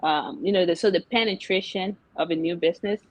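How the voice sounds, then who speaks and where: A woman's voice: on the low side, fairly quick, no echo, low-quality recording. A young woman from Liberia, a guest entrepreneur in an interview, talking casually with many fillers and laughs. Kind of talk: interview chat.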